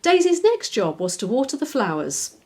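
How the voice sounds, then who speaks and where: A woman reads a children's story aloud. The voice is fairly high, with expressive, very lively intonation and clear phrasing for young listeners. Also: normal speed. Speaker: children's author, reader. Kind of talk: scripted storybook narration.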